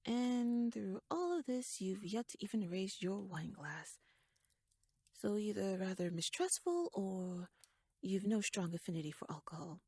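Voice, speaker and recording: A woman playing a shrewd, teasing royal noblewoman in an ASMR audio roleplay, speaking softly in a wry, courtly, measured way that slips toward a whisper. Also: medium pitch, very animated, husky, no room sound, studio quality, full character voice. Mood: probing, wry.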